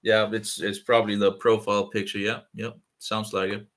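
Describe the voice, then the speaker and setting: A man from Denmark with a Danish accent, talking in halting, rough-edged speech with restarts on a casual video call. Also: fairly low pitch, little pitch movement, a bit bright. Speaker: video chat participant.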